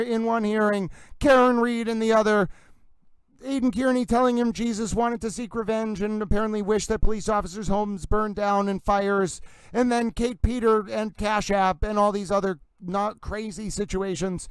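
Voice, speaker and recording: High, smooth-voiced theatrical male streamer. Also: fairly even intonation, normal speed, no echo, poor audio. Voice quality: slightly breathless. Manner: theatrical, gushing, singsong put-on intonation. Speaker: livestream host. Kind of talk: casual livestream chat with viewers.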